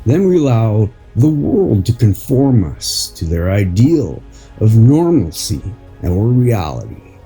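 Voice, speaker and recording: A man reading an inspirational article as a host, in a deliberate, phrase-by-phrase narration. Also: on the low side, sing-song, warm tone, slightly rough, faint music behind, poor audio. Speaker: podcast host and article reader.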